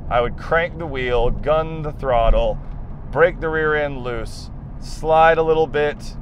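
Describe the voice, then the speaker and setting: A young man talks to a camera mounted in his car as he drives. He tells the story with a lively, self-mocking humor. Road and engine noise sit clearly under his voice. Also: mid-range voice. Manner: self-deprecating, animated retelling. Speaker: car vlogger. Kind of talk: casual storytelling vlog. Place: inside a car, driving.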